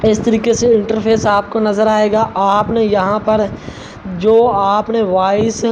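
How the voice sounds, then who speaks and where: A man with a high, feminine-sounding voice, narrating a step-by-step app tutorial as its host. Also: little pitch movement, takes it slowly. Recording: dull lo-fi sound, faint background noise.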